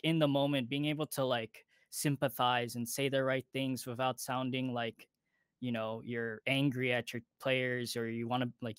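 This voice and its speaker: A man's voice: mid-range voice, clear, resonant tone, dry recording, clean studio sound. A man who coaches an esports team, answering interview questions thoughtfully and critically about himself. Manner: thoughtful, self-critical, says he is loud. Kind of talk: video call interview.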